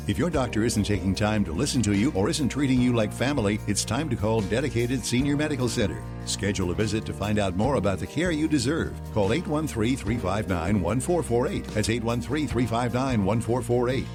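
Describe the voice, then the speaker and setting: A man with an American accent reading a scripted radio advertisement. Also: mid-range voice. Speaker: advertisement announcer. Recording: music under the voice. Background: music.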